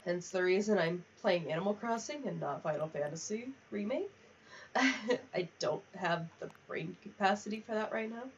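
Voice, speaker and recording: A woman's voice: mid-range voice, moderate pace, slight background noise. Young woman streaming a game, rambly casual chat, kinda far from the mic and muffled. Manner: rambling, chatty asides. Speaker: livestreamer. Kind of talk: casual livestream chat while gaming. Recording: distant, slightly muffled mic.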